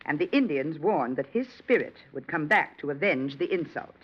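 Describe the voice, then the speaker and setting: An actress playing a stern, fearless gray-haired spinster with a brusque, no-nonsense manner in a 1940s radio drama. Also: female voice, low-pitched, animated, normal speed, breathy edge. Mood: brisk, matter-of-fact. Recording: muffled vintage radio recording.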